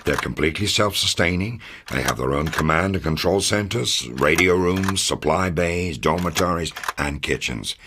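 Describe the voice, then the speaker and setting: A man playing a commanding, confident American intelligence officer, delivering a measured, authoritative mission briefing in a video game. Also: low-pitched, expressive, noisy background. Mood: firm, emphatic. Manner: authoritative, measured, matter-of-fact. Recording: music under the voice. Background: background music.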